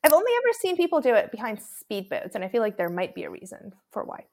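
A woman's voice: fairly high pitch, huge pitch swings, fast, darkish tone. A woman from Croatia chatting casually as a podcast guest, thoughtful and laughing easily, with many restarts. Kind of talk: casual chat.

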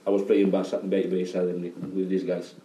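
A man from Spain who plays bass, joking wryly through an interview. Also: monotone, moderate pace, mellow. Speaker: bass player. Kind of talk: casual interview chat. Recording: echoey room.

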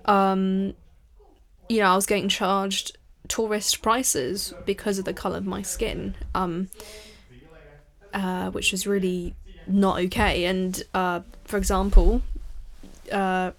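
A young woman in her late teens of half Thai, half British background, speaking earnestly in a casual discussion and pausing often on fillers. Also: medium pitch, level tone. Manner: earnest, ranting, hesitant with fillers. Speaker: discussion participant. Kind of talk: casual conversation.

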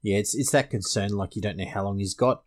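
A man's voice: on the low side, fast, a little mellow. A man with an Australian accent hosting a casual fantasy sports panel discussion. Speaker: podcast host.

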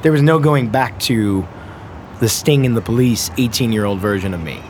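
Brief: man in his thirties, musician guest, rambly animated storyteller, mid-pitched, background noise under the voice. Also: moderate pace. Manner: rambling, animated storyteller who laughs often. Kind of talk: casual conversation.

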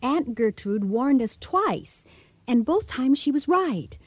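High-voiced, anxious radio-drama wife. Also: female voice, very animated, takes it slowly, no room sound, heavily acted. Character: earnest, anxious, superstitious wife.

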